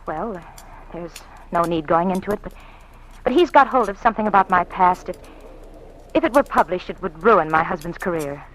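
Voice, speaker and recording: A woman's voice: lowish voice, lively intonation, normal speed, natural voice. An actress playing an anxious, secretive politician's wife in an old radio drama, hesitant and genteel in her speech. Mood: nervous, confiding. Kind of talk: 1940s radio drama acting, melodramatic. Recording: faintly noisy old radio recording.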